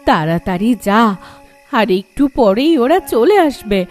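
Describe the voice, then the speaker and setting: Ghost mother, high voice, very slow, sorrowful, protective, pleading, horror cartoon. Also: very animated, faint music behind. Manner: drawn-out, pleading, urgent warnings to child. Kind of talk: Bengali horror cartoon voice acting.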